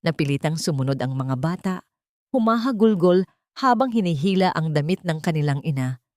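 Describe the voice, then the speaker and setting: Low-pitched Filipina woman narrating a story. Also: lively intonation, fairly quick, a little mellow, no room sound, clean studio sound. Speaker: audiobook narrator. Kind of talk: scripted dramatic story narration.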